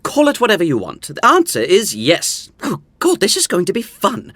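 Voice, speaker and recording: An arch, flamboyant schemer in a romantic comedy audio drama. His pitch swings extravagantly as he condescends to everyone around him. The sound is dry and intimate. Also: huge pitch swings, moderate pace, a bit bright, no echo, heavily acted. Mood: gleeful scheming. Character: theatrical, smug, meddling older brother and self-appointed schemer.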